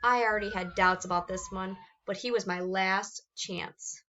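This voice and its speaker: A woman reading a children's picture book aloud with expressive narration. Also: mid-range voice, normal speed, highs cut off, slight background noise. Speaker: storybook reader.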